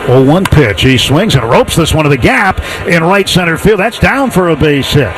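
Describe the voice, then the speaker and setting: A man with an American accent doing live radio play-by-play of a baseball game in measured, descriptive calls. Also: a little high, expressive, noisy background. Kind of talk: live radio sports commentary.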